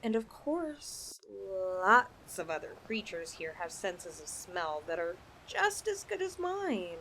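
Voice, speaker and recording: A woman's voice: mid-range voice, big pitch swings, takes it slowly, pushed and bright, slight background noise, heavily acted, rough recording. A woman voicing a possessive, flirtatious lamia in a roleplay ASMR style, coaxing her chosen mate with sweet condescension. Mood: teasing, ominous.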